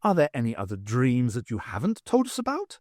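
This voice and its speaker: A man's voice: medium pitch, huge pitch swings, a little fast, darkish tone, no room sound, studio quality. Man playing a brusque, sneering male professor. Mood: scornful.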